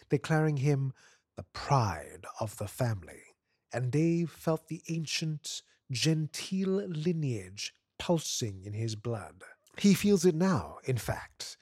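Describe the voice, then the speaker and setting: A man's voice: fairly high pitch, husky, dry recording, clean studio sound. A man narrating a piece of short fiction aloud in a scripted, literary reading style.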